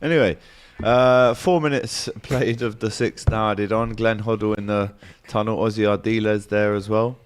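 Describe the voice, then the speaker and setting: A man's voice: fairly low pitch, steady tone, moderate pace, few pauses, clear, resonant tone. A man, hosting a live football watchalong, casually calling match updates and reading out comments.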